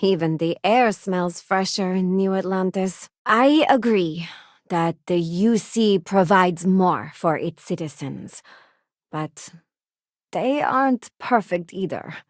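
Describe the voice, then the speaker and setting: A woman's voice: fairly low pitch, takes it slowly, no room sound, clean studio sound. An actress playing a principled, idealistic female crew companion in a space video game, speaking sincerely and conversationally. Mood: earnest, disillusioned. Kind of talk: acted game companion dialogue lines.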